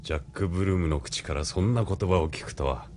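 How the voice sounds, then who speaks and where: An actor in a Japanese audio drama playing a cool, formally polite military colonel who speaks in a measured, probing way. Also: male voice, low voice, darkish tone, a little hiss or hum, lightly acted. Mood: composed, formal.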